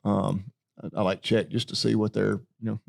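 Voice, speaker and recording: Gravelly low farmer. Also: male voice, level tone, normal speed. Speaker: podcast co-host, farmer. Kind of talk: casual chat.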